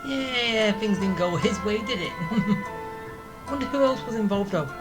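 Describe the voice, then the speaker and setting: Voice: mid-range voice, expressive, unhurried, music in the background, rough recording. A game streamer giving casual, off-the-cuff commentary and talking back to the characters. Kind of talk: casual let's-play commentary.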